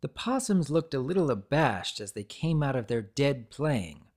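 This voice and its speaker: A woman's voice: a bass voice, big pitch swings, moderate pace, darkish tone. A woman giving a dramatic, scripted narration of a story as its narrator.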